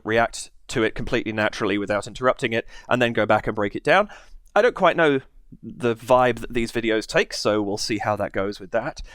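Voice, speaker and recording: A man's voice: medium pitch, a bit bright, clear, resonant tone, no room sound. A man hosting his reaction channel from a basement studio, chatting casually with a head cold and rambling through many fillers. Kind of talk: casual to-camera chat.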